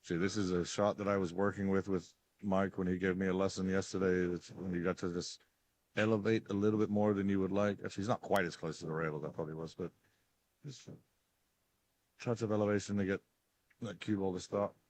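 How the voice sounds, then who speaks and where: A man casually commentating a live pool match stream, chatty and loud-voiced, on a headset mic in a bar. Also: low voice, steady tone, brisk, no room sound. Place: bar near a pool table. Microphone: headset mic with headphones.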